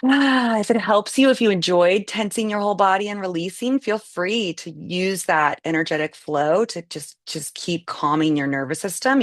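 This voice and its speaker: A woman leading a live guided meditation, giving slow, soothing, gentle instructions. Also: on the low side, no room sound, clean studio sound.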